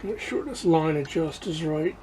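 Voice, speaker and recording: A man giving a casual hands-on demonstration to viewers as a hobbyist, haltingly searching for words and repeating himself. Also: fairly high pitch, background noise. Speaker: radio restoration hobbyist presenting to viewers.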